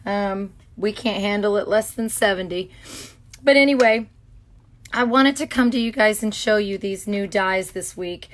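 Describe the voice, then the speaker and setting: Hoarse middle-aged woman, chatty craft livestream host at her desk, loose and rambling with lots of ums. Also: medium pitch, measured pace. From Florida. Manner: chatty, greets viewers by name, many ums. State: hoarse, sore throat. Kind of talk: casual livestream demo. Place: home craft studio.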